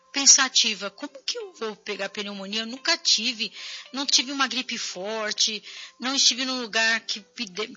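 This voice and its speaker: Hoarse, bright-voiced Brazilian woman host. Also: on the high side, dull audio. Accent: Brazilian Portuguese. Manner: chatty, addresses viewers directly. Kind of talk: casual talk show, reading viewer messages.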